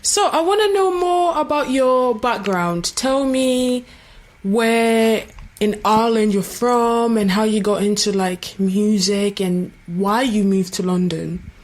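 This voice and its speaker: A woman's voice: medium pitch, takes it slowly. A woman hosting a podcast, asking questions in a casual, conversational way. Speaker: podcast host, interviewer.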